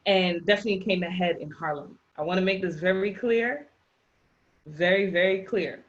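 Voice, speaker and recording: A woman's voice: on the low side, normal speed, dull audio. A woman with a Haitian accent presenting a housing rights workshop over slides, emphatic and repeating her key points. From Haitian background.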